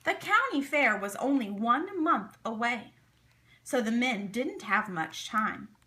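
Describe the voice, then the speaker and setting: A woman reading a children's story aloud in an expressive, deliberate, dramatic way. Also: a little high. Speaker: storybook reader.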